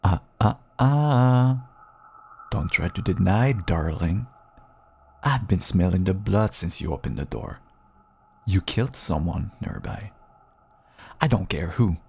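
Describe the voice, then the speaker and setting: A man acts out a feral vampire husband in an intimate audio drama. His voice is husky and full of fry, and he takes his time over every taunting endearment. He sounds hungry, sly and manipulative. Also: sits low, lively intonation, a little mellow, exaggerated voice. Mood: smug, taunting. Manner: slow, taunting, drawling endearments. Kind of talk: intimate audio roleplay acting.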